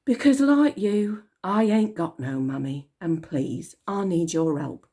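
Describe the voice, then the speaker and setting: A woman's voice: on the low side, lively intonation, takes it slowly, warm tone, breathy edge, exaggerated voice. A woman voicing a brave young orphan girl in a read-aloud story, calling out urgently. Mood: pleading.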